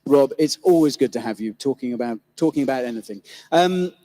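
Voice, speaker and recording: A man's voice: medium pitch, expressive, fairly quick, mellow. A man hosting and moderating a live panel on stage, introducing guests and asking questions, often correcting himself mid-sentence. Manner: rambling, self-correcting introductions. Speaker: host and moderator. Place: event stage before a live audience. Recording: long venue reverb.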